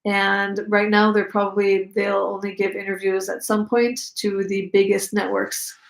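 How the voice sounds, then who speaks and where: A woman's voice: mid-range voice, flat delivery, a little slow, creaky. A young woman with an Israeli accent, a native Hebrew speaker, hosting a casual live chat and answering viewer questions. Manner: chatty, reads out viewer comments, many fillers.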